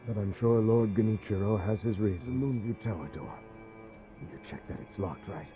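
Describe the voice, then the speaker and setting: A man's voice: on the low side, moderate pace, dark tone, faint music behind, natural voice. A man playing a complacent castle guard on night watch in a video game. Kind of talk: video game dialogue acting.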